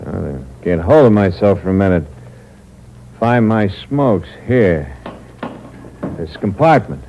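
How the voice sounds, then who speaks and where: An actor playing a cold, hard-boiled impostor who narrates the story in a terse, clipped manner, in a 1950s radio drama. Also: male voice, deep voice, expressive, slow pace, mellow, exaggerated voice. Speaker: narrator and lead. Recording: muffled old radio recording with hiss.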